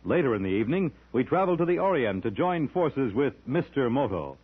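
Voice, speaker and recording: A man gives a polished old-time radio promo. His mid-pitched delivery is animated and formal. The sound is thin and band-limited, like an old broadcast. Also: fairly quick, husky. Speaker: network radio announcer. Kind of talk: mid-century radio announcing, promotional read. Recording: tinny vintage radio broadcast.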